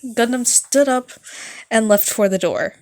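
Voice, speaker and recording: Amateur story narrator, female, slow halting read, fairly high voice. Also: a little mellow. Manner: halting read with stumbles and self-corrections. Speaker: narrator, fan fiction reader.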